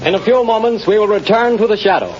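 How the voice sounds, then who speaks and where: A man's voice: quick pace, over loud music. A male announcer reading a scripted commercial in 1930s radio style, formal and emphatic. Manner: emphatic, formal sales pitch. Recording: dull old radio recording, faint hiss.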